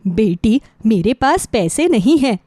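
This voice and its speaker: A woman voicing a hungry, humble old woman who pleads and gives blessings in an animated children's story. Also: fairly high pitch, measured pace, breathy edge, no room sound, studio quality, heavily acted. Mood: pleading. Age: older.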